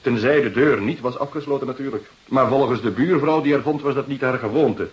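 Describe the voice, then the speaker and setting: A man's voice: mid-range voice, brisk, muffled recording, natural voice. A man playing an earnest, dutiful junior police detective in a Dutch radio drama, explaining eagerly and repeating himself. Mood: matter-of-fact.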